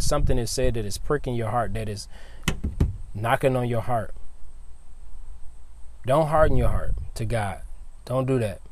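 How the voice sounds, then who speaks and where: A man's voice: mid-range voice, a little mellow, a little hiss or hum. A man in his late twenties preaching an informal devotional sermon outdoors, repeating phrases and often saying "man". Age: 20s.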